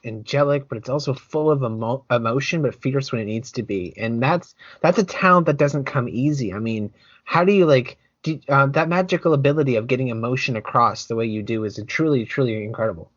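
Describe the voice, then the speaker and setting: A man's voice: mid-range voice, normal speed, no echo. Man host, dry dull sound, stumbling and effusive. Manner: stammering, effusive and complimentary. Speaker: interview host. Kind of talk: casual interview chat.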